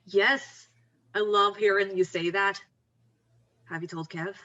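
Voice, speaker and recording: A woman's voice: mid-range voice, steady tone, slow pace, bright, forceful tone, highs cut off. A woman playing an upbeat, pushy, encouraging young best friend in a screenplay table read, talking casually and emphatically. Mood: warm, eager.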